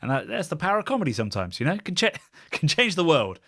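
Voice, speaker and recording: A man's voice: fairly high pitch, sing-song, quick pace, fairly bright tone, slightly rough, no room sound, clean studio sound. A British man hosting a casual podcast, musing and rambling in a wry way. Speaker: podcast host, beer YouTuber.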